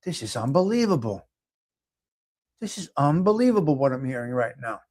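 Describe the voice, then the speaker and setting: A man's voice: medium pitch, sing-song, moderate pace, a little mellow. A man with a New York accent hosting a casual live chat show, talking emphatically, often repeating himself and restarting. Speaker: livestream host. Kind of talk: casual live chat, reading viewer comments aloud.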